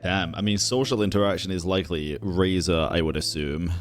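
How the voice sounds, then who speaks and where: A man's voice: low-pitched, steady tone, brisk, soft background music. A man casually reacting to a game on stream, joking, chatty and profane.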